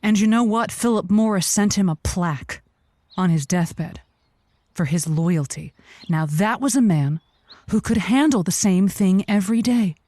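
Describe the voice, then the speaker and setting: Actress as comedy advice-show host: mid-pitched, earnest, halting, theatrical emphasis, dry close recording. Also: female voice, lively intonation, warm tone, no echo. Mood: earnest, persuading. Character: earnest, rambling female radio advice host. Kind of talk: improvised comedy call-in.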